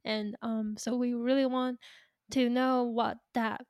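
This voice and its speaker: A woman's voice: fairly high pitch, level tone, slow, a little mellow, clean tone, no room sound. Close, dry-sounding woman podcast host. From Taiwan. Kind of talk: casual interview.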